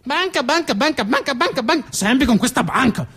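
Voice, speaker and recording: Squeaky, camp, flirty postman. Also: lively intonation, fairly quick, sharp tone, breathy edge, heavily acted. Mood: flirty, whiny. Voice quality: speech defect turning R into V. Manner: camp, cooing, mock-offended. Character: flirtatious effeminate male postman, teasing and whiny. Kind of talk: comic theatre monologue character.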